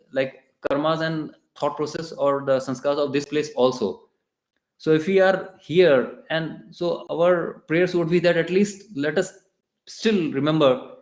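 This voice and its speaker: A man with an Indian English accent giving a conversational devotional lecture in a temple, pausing and restarting often. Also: fairly high pitch. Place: temple hall. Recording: echoey temple room.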